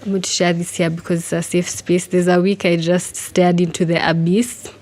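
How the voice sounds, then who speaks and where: A woman's voice: fairly low pitch, little pitch movement, moderate pace, darkish tone. A woman speaking candidly and reflectively as she shares personal thoughts with a group. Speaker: writer and researcher.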